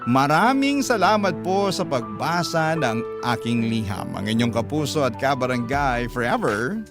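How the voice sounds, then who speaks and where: A man's voice: mid-range voice, big pitch swings, measured pace. A man with a Filipino accent narrating a listener's letter as a radio drama host. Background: music.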